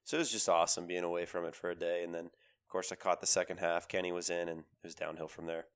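Man, 30s, podcast co-host with a cold; deep, resonant, emphatic sports banter. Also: quick pace, clear, resonant tone. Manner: animated, emphatic with repeated phrases. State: has a cold, voice lower than usual. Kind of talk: casual sports chat.

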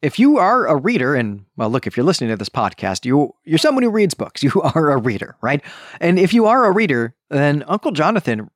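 A man hosts a book discussion podcast with a lively, swooping delivery. He talks conversationally and leans on key words for emphasis, often checking in with the listener. The sound is dry, with no room around the voice. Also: a little high, brisk, no room sound. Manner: emphatic, checks in with rhetorical "right?". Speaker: podcast host.

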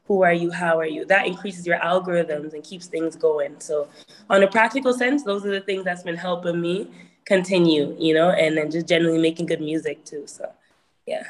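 A woman's voice: lowish voice, normal speed. Chatty woman musician giving advice. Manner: chatty, frequent fillers like "you know". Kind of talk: casual advice talk.

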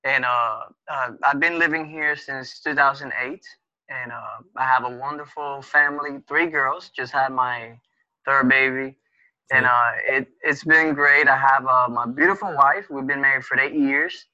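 Bright-voiced Spanish-native man, halting. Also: medium pitch, fairly even intonation, dry recording, lo-fi sound. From Spanish-speaking country. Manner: halting, many fillers and restarts. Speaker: podcast guest. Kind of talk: casual conversation.

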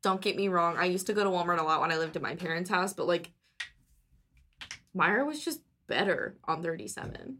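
A woman's voice: fairly low pitch, a little fast, sharp tone, a little hiss or hum. A woman from Indiana, chatting and giggling as a podcast co-host. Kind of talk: casual banter.

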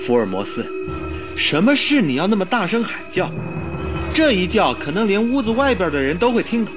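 A loyal doctor friend in a radio drama. His voice sits a little high and moves in lively swings. He speaks in an exasperated, protesting, questioning way. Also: fairly quick, over music. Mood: exasperated, concerned.

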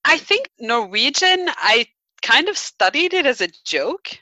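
A woman's voice: high voice, very animated, measured pace, sharp tone, no room sound. A young American woman chatting casually, self-deprecating and full of "like" fillers. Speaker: conversation partner. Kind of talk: casual chat.

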